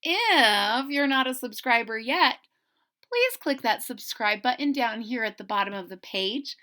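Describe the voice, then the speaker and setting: A woman reads a children's story aloud in a high, bright voice. Her pitch rises and falls in a very animated way, with dramatic emphasis and chatty asides to her young listeners. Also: a little slow.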